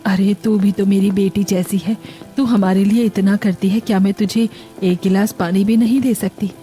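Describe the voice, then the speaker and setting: A woman puts on an elderly, loving mother-in-law for a cartoon family tale. She sounds soft-toned and tender, with a worried, fretful manner, and music plays behind her. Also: medium pitch, steady tone, normal speed, close to an everyday voice. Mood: tender concern. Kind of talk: Hindi cartoon family story acting.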